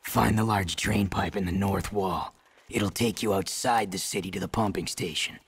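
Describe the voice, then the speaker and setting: An actor playing a gruff male rebel leader and former guard who speaks with dry sarcasm. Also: deep voice, fairly even intonation, moderate pace, heavily acted.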